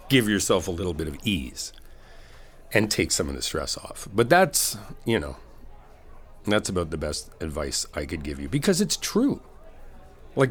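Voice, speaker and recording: Male, low-pitched and lively in intonation. Laid-back best friend played by a man for comfort audio roleplay, informal, supportive, rambling advice. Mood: chatty, reassuring, then encouraging, reassuring. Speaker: ASMR roleplay performer. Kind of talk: casual conversational comfort ASMR roleplay.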